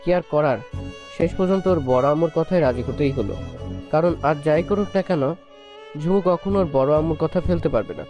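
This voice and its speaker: High-voiced male story narrator. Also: a little fast, a little mellow, lo-fi sound, faint music behind. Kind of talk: scripted narration of romantic story.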